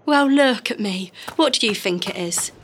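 An actress playing a hurt, guarded young streetwalker in an audio drama, defiant yet downcast, speaking colloquially. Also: female voice, mid-range voice, lively intonation, normal speed, background noise, lightly acted. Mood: defensive. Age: young adult.

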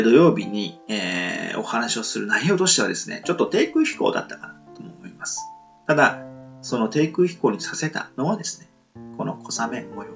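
Male podcast host, reflective and polite, creaky voice with lively intonation, rambling through a casual monologue. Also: lowish voice. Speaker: solo podcast and radio show host. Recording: muffled lo-fi, soft guitar music behind. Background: plucked guitar music.

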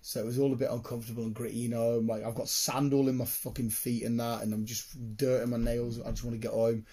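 Excitable, slightly croaky British man. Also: mid-range voice, fast talker. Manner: excitable, rambling, sweary. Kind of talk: casual chat, rambling anecdotes.

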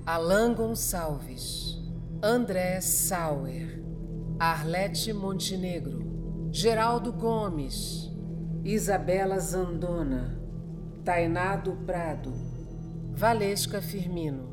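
A woman's voice: fairly low pitch, lively intonation, very slow, music in the background. A woman with a Brazilian accent reading scripted, dramatic narration for a radio serial.

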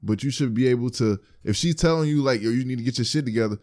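A young man co-hosting a casual chat, talking loudly and profanely with lots of repeats and hype. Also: mid-range voice, quick pace. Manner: loud, profane, animated storytelling. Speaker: podcast co-host.

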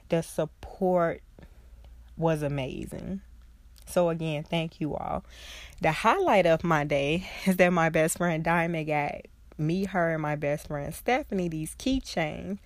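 A young woman hosts her podcast alone, her nose stuffy and her voice congested from a cold. She speaks slowly and earnestly in a fairly low voice. The sound is dry and close. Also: dry recording. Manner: earnest, heartfelt, slow and reflective. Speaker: podcast host, social worker. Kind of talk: casual solo podcast talk.

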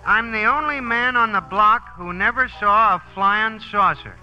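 An actor playing a gullible fellow who longs to be famous, in a comic 1940s radio sketch. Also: male voice, high-pitched, unhurried, full character voice. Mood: whining boast. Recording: dull vintage radio, loud music.

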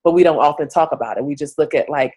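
A woman journalist talks through an interview in a loose, conversational way, full of fillers. She is fighting a cold, so she sounds stuffy and keeps clearing her throat. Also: low voice, quick pace, darkish tone. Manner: chatty, many "like" and "you know" fillers. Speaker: journalist guest.

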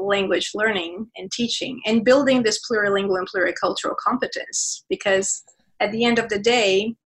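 Woman, Portuguese-native, passionate interview guest who restarts her sentences; mid-pitched voice. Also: a bit bright, low-quality recording. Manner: passionate, many restarts and fillers. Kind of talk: conversational interview.

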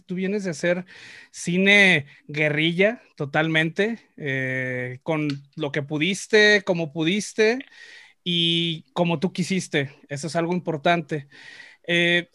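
A Mexican man hosting an interview, asking questions in a lively, slangy way. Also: a little high, dry recording, studio quality. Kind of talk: casual interview.

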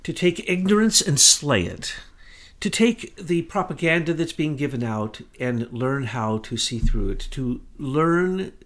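A middle-aged man co-hosting a political commentary talk, talking fast and insistently. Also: on the high side, animated, fairly bright tone. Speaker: co-host.

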